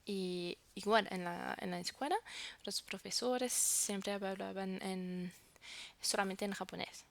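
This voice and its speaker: A woman's voice: medium pitch, steady tone, slow, vocal fry. A woman whose first language is French, vlogging casually to camera and searching for words as she talks.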